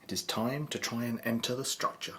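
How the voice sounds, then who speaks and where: A man's voice: medium pitch, brisk, pushed and bright, slight background noise, close to an everyday voice. A man narrating a scripted radio drama as a grave storyteller. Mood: measured, dramatic.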